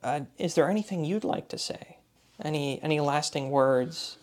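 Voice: mid-range voice, moderate pace. A radio show host interviewing a guest, asking curious, rambling questions full of likes and fillers.

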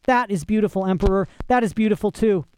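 A man's voice: sits high, fast talker, a little mellow, pitched above the performer's own voice, natural voice. A man voicing a frantic, tearful inventor who pleads with wonder in a storybook reading, with some background noise. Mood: pleading, enraptured.

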